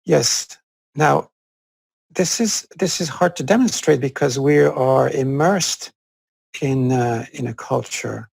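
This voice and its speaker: Gravelly man who grew up in France. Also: mid-range voice, slow pace, no room sound, poor audio. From France (Corsica). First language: French. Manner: thoughtful, hesitant with many fillers. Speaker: co-host. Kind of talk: conversational discussion.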